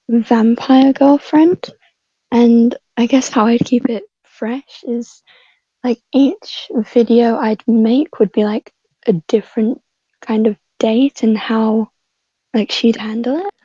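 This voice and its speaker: Slow, breathy woman on a dull mic. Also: a little high, fairly even intonation, dark tone, no echo. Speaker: podcast panel guest. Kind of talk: casual chat.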